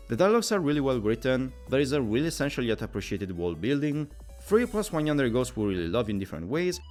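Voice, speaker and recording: Fast, lively man narrating a scripted review. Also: medium pitch. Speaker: video game reviewer.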